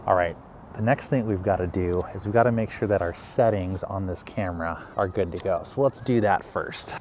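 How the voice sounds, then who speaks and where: A man's voice: sits low, moderate pace, darkish tone, slight background noise. A man chatting casually as a video creator and host of a vlog.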